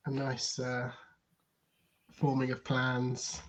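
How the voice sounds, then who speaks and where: A man joining a game stream, making dry jokes with trailing pauses and filler sounds. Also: mid-range voice, completely flat delivery. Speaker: player. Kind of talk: casual banter. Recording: dull lo-fi mic with faint hiss.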